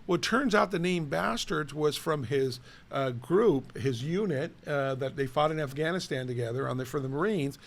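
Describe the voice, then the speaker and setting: Middle-aged guy, raspy gravelly voice, a bit high, chatty and animated, telling stories on a local talk show. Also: normal speed, few pauses. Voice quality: raspy today. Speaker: co-host, city official. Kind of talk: casual chat.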